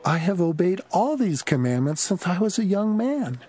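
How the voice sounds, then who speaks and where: An earnest, important rich man in a Bible audio drama. His voice is husky and fairly high, with lively swings in intonation. He speaks respectfully, as if to a teacher. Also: normal speed, a faint crowd, full character voice.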